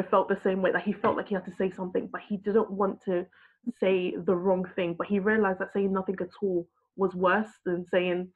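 A woman who works in healthcare, earnestly telling a frustrated personal story in a discussion. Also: medium pitch. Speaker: healthcare worker. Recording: husky voice over a tinny phone-like line.

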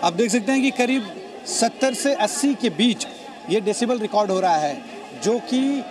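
Male field reporter, high-pitched voice, explanatory on-location delivery, clearly noisy city exterior. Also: expressive, normal speed, a crowd in the background. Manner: explanatory, demonstrative, addressing viewers directly. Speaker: television news field reporter. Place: outdoors on city streets. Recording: noisy outdoor urban location with traffic.

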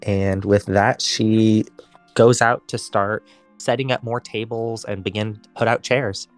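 A woman's voice: rumbling low, fairly even intonation, measured pace, soft background music. A woman narrating as game master and plainly explaining the rules of a tabletop game.

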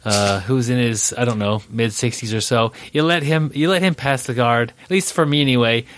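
A man hosting a casual podcast chat, nasal and congested from allergies, relaxed and laughing easily. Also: medium pitch, moderate pace, no echo. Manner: relaxed, laughs often, filler-heavy. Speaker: podcast host.

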